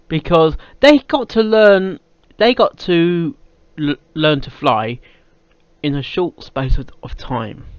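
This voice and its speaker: A British man giving casual off-the-cuff reaction commentary, often halting and repeating his words and phrases as he goes. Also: a little high, no echo. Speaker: reaction video host. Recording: dull lo-fi microphone sound.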